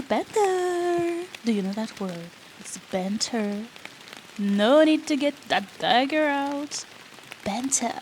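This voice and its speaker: High-voiced bratty rogue girl, acted by a woman. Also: a little mellow, poor audio. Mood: teasing. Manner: cheeky, sarcastic, bantering, swearing. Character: bratty, teasing, foul-mouthed female rogue adventurer. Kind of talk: fantasy audio roleplay, addressing the listener. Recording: rain noise behind the voice.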